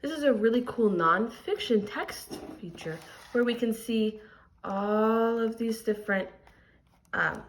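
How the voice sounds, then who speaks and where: A woman's voice: fairly high pitch, a little slow. A woman teacher reading a picture book aloud to young students and explaining it as she goes. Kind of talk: expressive read-aloud with lesson commentary.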